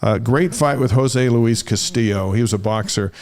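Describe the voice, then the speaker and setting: A man's voice: mid-range voice, moderate pace, a little mellow. A middle-aged man co-hosting a sports talk show in casual banter, reading out short items and telling stories in a chatty way.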